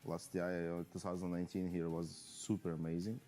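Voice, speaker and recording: A man, a professional player, giving a halting interview full of fillers and restarts. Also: low voice, little pitch movement, dark tone. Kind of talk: post-match player interview.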